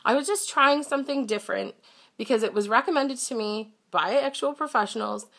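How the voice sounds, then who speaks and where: A woman's voice: on the high side, brisk, vocal fry, fairly bright tone. Congested young woman vlogging candidly. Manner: candid, slightly defensive, chatty. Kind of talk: casual talk to camera.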